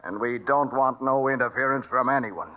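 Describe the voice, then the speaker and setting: A man's voice: medium pitch, moderate pace, natural voice. An American actor playing a smug, menacing outlaw gang leader who taunts his way through an old radio western. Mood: smug threatening. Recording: muffled old radio broadcast recording.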